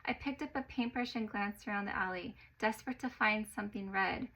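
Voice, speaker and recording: A woman narrating a personal story in an expressive way, getting louder at the exciting parts. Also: mid-range voice, brisk, low-quality recording.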